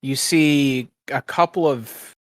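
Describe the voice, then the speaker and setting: A man acting as game master, improvising descriptions for a tabletop fantasy game in a halting, piecemeal way. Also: mid-range voice, no room sound, clean studio sound.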